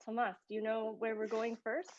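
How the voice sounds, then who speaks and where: A woman's voice: fairly high pitch, level tone, normal speed. A woman co-hosting an activist live stream, reading an upbeat script. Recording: dry, muffled audio.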